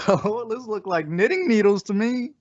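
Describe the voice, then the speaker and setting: Man playing animated, doting sitcom husband, muffled recording. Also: sits high, moderate pace. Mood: tender, teasing. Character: doting husband, excitable, eager and fussing father-to-be. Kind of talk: 1940s radio sitcom acting. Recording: muffled old-time radio style recording.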